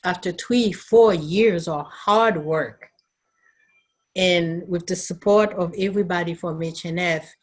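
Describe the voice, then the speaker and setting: A middle-aged Haitian woman who is a nurse and educator, telling her story in an earnest, thoughtful way with pauses and fillers. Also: lowish voice, husky. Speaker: nurse and nursing educator, interviewee. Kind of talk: recorded interview, personal recollection.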